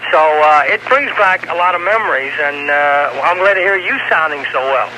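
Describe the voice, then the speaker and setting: A middle-aged man phoning a radio show, chatting nostalgically in a hesitant, halting way. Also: a little high, sharp tone. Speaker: radio call-in caller. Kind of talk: nostalgic casual chat. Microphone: telephone call to studio. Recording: noisy cassette of radio phone-in.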